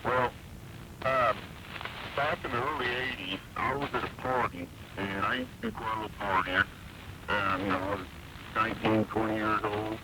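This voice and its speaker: A man in his forties calling a radio show, telling stories in a casual, halting, rambling way over a shortwave broadcast. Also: lowish voice, fairly even intonation. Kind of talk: casual phone-in chat. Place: phone call into radio show. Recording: very noisy shortwave broadcast, distant caller sound.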